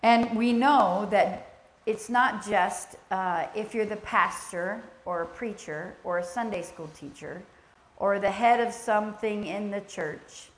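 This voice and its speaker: A soft-spoken woman leading a church teaching session, speaking in a gentle, conversational way with a spoken prayer. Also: mid-range voice, unhurried. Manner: gentle, conversational, with fillers and restarts. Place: church sanctuary. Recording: echoey church room, faint ambience.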